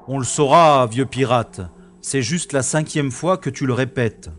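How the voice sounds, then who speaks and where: An actor playing a wry, stubborn special agent who narrates his own science-fiction audio drama in a sardonic, deliberate way. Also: mid-range voice, lightly acted. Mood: exasperated, determined.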